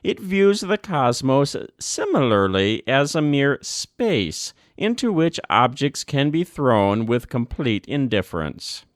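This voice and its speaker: A man narrates a formal religious text in a slow, deliberate delivery. His pitch moves widely as he stresses key words and sets them off with measured pauses. The recording is dry and close, with very little room sound. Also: no echo. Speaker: volunteer audiobook narrator.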